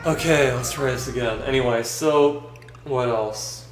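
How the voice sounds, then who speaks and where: A young man with a dry, flat-sounding voice talks in halting, rambling bits, full of restarts and fillers, while he plays a video game. His voice sits at an ordinary middle pitch. It rings in an echoey room, with game music clearly playing behind him. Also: recorded from a distance. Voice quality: dry monotone voice. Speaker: vlogger.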